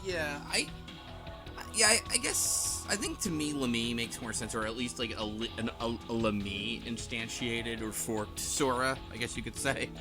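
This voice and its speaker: A man's voice: mid-range voice, animated, moderate pace, music in the background. A man co-hosting a casual discussion, speaking hesitantly with frequent restarts. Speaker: podcast co-host.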